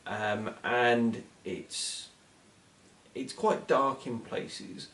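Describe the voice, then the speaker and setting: A man co-hosting a casual book chat, giving recommendations in a hesitant, measured and thoughtful way. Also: medium pitch, gravelly, a bit bright. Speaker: book reviewer co-hosting short fiction recommendations. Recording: echoey room, distant from mic.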